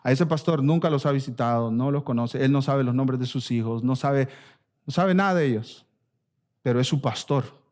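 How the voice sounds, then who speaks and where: A man's voice: medium pitch, moderate pace, a little mellow. A man with a Latin American Spanish accent preaching an expository sermon as a pastor, emphatic and exhorting, repeating phrases and asking rhetorical questions. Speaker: pastor preaching to his church.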